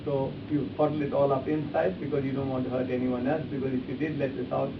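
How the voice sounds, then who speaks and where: A man's voice: mid-range voice, little pitch movement, quick pace. A man giving a live demonstration as an instructor, speaking emphatically and repeating phrases as he addresses volunteers directly. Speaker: face reading instructor. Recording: echoey distant room, muffled, hissy.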